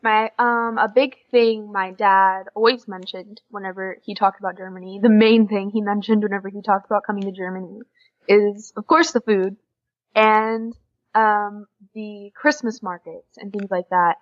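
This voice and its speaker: A woman's voice: mid-range voice, steady tone, normal speed. An eighteen-year-old woman from Oklahoma with an American accent, giving casual answers as an interview guest. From Oklahoma, United States. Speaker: interview guest, exchange student. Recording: dull lo-fi podcast audio.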